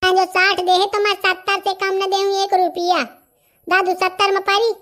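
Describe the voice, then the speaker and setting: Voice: extremely high pitch, little pitch movement, normal speed, sharp tone. An actor with a Bundelkhandi accent voicing a chatty, stubborn young villager in a comedy cartoon. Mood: stubborn, bargaining.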